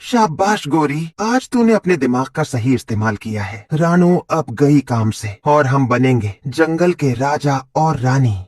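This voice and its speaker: A man voicing a scheming cartoon crow, a sly and persuasive smooth-talking villain. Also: mid-range voice, lively intonation, measured pace, few pauses, darkish tone, dry recording, clean studio sound. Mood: scheming, gleeful. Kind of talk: cartoon voice acting.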